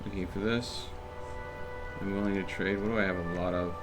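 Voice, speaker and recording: A man's voice: sits low, steady tone, fairly quick, over music. A man livestreaming a video game in casual chat, rambling and thinking aloud as he plays. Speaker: live streamer playing a video game.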